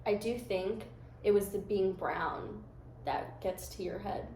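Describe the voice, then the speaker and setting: A young American woman chatting casually, talking candidly and reflectively in a soft-spoken way. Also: mid-range voice, takes it slowly, roomy sound, a little hiss or hum, rough recording. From United States. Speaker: guest. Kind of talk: casual chat.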